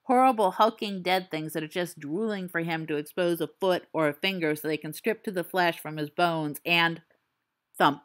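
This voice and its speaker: Woman reader, lowish voice, expressive suspenseful narration for a fan audiobook.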